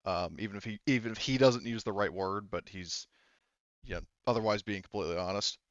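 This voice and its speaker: A man improvising as game master of a tabletop roleplaying game, narrating haltingly and relaying what characters say. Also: lowish voice, no room sound, dull audio. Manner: halting, speaks for characters in indirect speech.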